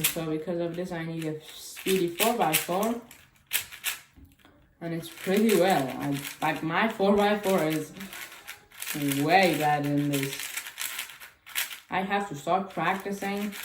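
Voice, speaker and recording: A woman whose first language is Chinese, chatting casually and haltingly through a cube unboxing with small grammar slips. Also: on the low side. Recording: echoey room with clicking noise.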